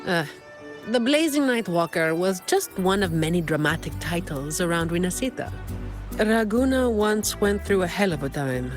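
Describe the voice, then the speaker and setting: A woman playing a composed, helpful informant in a video game, briefing an ally in a measured, explanatory way. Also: on the low side, big pitch swings. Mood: measured, storytelling. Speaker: game character giving briefing and lore. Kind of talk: scripted video game dialogue. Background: instrumental music.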